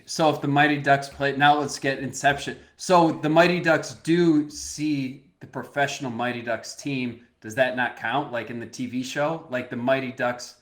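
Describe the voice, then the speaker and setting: A man co-hosting a podcast, arguing his point with growing excitement. He talks in quick bursts, laughing as he pushes back and restarts sentences. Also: mid-range voice. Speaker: podcast co-host. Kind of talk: casual chat.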